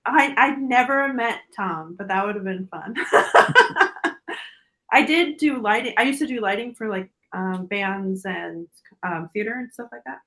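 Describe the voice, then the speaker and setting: A woman's voice: mid-range voice, expressive, a little slow, fairly bright tone. A woman in her forties with a mid-range voice, talking as a guest in a casual podcast chat. She is giggly and tends to laugh through her own sentences. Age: 40s. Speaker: podcast guest, dancer and influencer.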